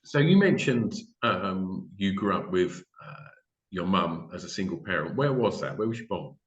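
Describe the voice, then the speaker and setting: A man's voice: low voice, lively intonation, normal speed, lo-fi sound. A man with an English accent hosting a podcast, chatting in an enthusiastic, informal way. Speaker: podcast host. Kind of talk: casual interview chat. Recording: echoey room sound.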